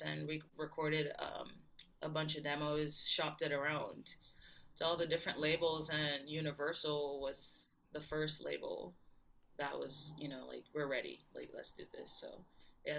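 A woman's voice: low-pitched, creaky, pushed and bright. A woman from Barbados, telling her story as a guest in a casual interview. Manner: chatty storyteller, many fillers and laughs. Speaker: guest singer-songwriter.

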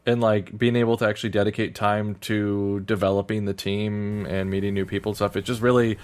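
A man from Missouri co-hosting a podcast in casual chat, talking in a fairly flat, monotone way with lots of "like". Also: fairly low pitch, a little fast. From Missouri, USA. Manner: monotone, heavy use of "like". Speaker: podcast co-host.

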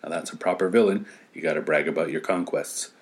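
A man's voice: rumbling low, brisk. A man reading a story as narration, with wry, playful asides. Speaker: story reader.

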